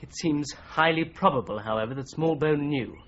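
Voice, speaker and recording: Precise English actor as a shrewd investigating solicitor. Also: male voice, a little high, a bit bright, a little hiss or hum, natural voice. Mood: firm, pressing. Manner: precise, measured, probing. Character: shrewd, methodical male solicitor turned amateur investigator. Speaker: actor in a radio drama. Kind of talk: 1980s radio drama acting. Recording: slightly dull 1980s radio recording.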